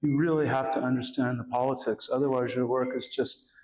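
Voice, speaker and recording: A man with a raspy voice from a cough, giving an academic lecture in a halting way with many pauses and fillers. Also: mid-range voice, fairly even intonation, a little mellow, rough recording. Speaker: guest lecturer.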